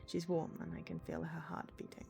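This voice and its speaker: A woman's voice: low voice, monotone, brisk, gravelly, dark tone, soft background music. A woman game streamer chatting live and reading game text aloud, breaking off for exasperated asides.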